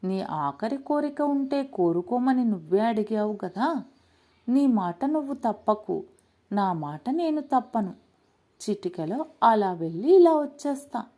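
Woman as a quick-witted, pleading village man, unhurried. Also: fairly high pitch, very animated, mellow. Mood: persuasive.

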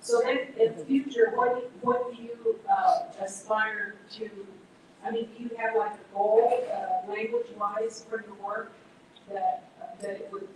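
A woman's voice: fairly high pitch, lively intonation, measured pace, a little hiss or hum. A woman moderating a live audience Q&A, putting direct, conversational questions to the guest. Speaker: event moderator. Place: large event hall. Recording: echoey hall from far off.

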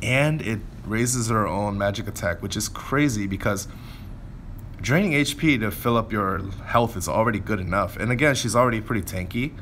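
Low-voiced man giving casual game commentary. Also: moderate pace, fairly bright tone, a little hiss or hum. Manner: informal, thinking aloud while playing. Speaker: gameplay video creator.

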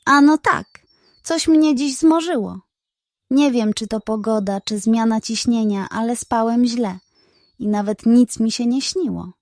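A woman's voice: a little high, a little mellow, no echo. A woman voicing a kindly, sleepy old male badger baker in a children's story, musing wearily. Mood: weary, puzzled. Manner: weary, sighing, slow musing.

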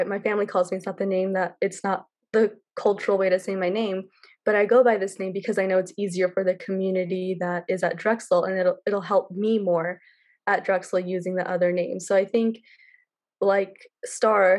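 A woman's voice: mid-range voice, flat delivery, normal speed, a little mellow. A young woman student sharing a personal reflection over a video call from her room, earnest and full of fillers. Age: young adult. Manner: earnest, uses many fillers. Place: bedroom, indoors.

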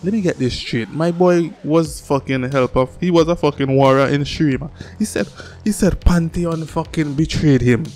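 A man's voice: fairly high pitch, moderate pace, soft background music. A man in his thirties giving casual, slangy, profane reaction commentary as a video host, close on a desk microphone. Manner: slangy, profane, animated with hands. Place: small home room, indoors. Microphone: desk microphone on boom arm, close.

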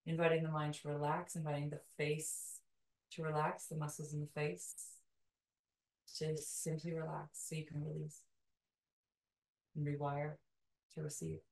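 A woman leading a guided meditation in a soothing, calming way, with slow, deliberate phrases and long pauses. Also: sits low, creaky. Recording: roomy echo, distant from mic.

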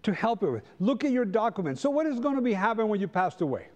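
A middle-aged man with a Costa Rican accent, a native Spanish speaker, teaches a church class. His voice sits a little high and is quite soft-edged and mellow. He talks conversationally, putting questions to his listeners as he goes. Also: sing-song, fast, lo-fi sound. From Costa Rica. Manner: conversational, anecdotal, rhetorical questions. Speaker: Sabbath school teacher. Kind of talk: church lesson to a congregation. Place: large church sanctuary, standing before pews.

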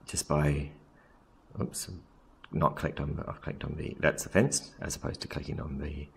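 A man casually narrating a software screen recording, thinking aloud with frequent ums and self-corrections. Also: extremely low pitch, creaky. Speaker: software tutorial presenter.

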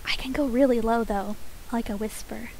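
A woman streaming a game, chatting casually and softly, a little nasal, her voice pitched up, with small laughs between remarks. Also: fairly even intonation, moderate pace, slight background noise, rough recording. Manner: soft-spoken, chatty, frequent small laughs; voice pitched up from her natural register.

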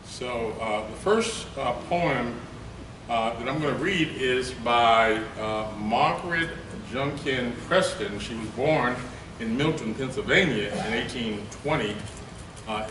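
An older man reading poetry at a podium, first chatting haltingly with many fillers, then reciting slowly and gravely. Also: medium pitch. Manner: slow, declamatory recitation. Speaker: poetry reader. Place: conference room with lectern. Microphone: podium mic, from a far camera. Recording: roomy, distant, noisy room recording.

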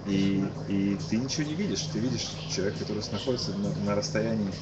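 A tired man in his thirties from Kyiv giving a casual, halting eyewitness account as an interviewee, repeating and restarting his words. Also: on the low side. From Kyiv, Ukraine. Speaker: interviewee, musician. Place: cafe-like booth indoors. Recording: muffled lo-fi webcast, loud background noise.

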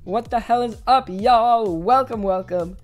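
A young woman streaming from a small bedroom, her voice hoarse and a little rough today. She talks casually into a headset with a bubbly, effusive warmth, pitched somewhat high, and soft music runs faintly behind her. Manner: bubbly, effusive, quick swings to excited squeals. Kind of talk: casual live-stream chat thanking viewers.

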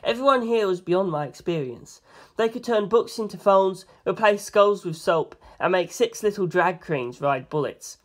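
Story narrator, mid-range pitch, reading first-person fiction audiobook-style. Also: animated, moderate pace.

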